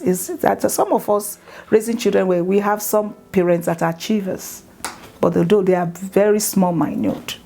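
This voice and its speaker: A woman from Nigeria with a Nigerian accent, speaking earnestly about her charity's work in a measured way, with some fillers and restarts. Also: fairly low pitch, a little mellow, a little hiss or hum. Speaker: charity founder. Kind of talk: promotional talk to camera.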